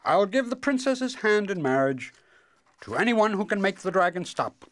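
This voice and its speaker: An exasperated storybook king, acted out by a narrator, pitched well above a normal talking voice. He blusters in loud, emphatic declarations, then hedges and justifies himself with flustered insistence. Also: sing-song, moderate pace, no echo, close to an everyday voice. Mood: bargaining, insistent.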